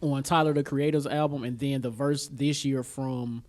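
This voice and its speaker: A man's voice: medium pitch, fairly even intonation, normal speed, darkish tone. A man co-hosting a podcast in casual, slangy chat, with an easygoing, agreeable way of talking. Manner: slangy, informal, easily agreeable. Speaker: podcast co-host. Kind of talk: casual chat about music, films and sports.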